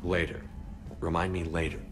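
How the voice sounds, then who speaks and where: Man playing a low-voiced, wry space commander. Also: flat delivery, moderate pace, close to an everyday voice. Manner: dry, wry humour amid commands. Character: male space commander, battle-hardened, wry, determined hero. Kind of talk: cinematic video game acting. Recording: music behind the voice.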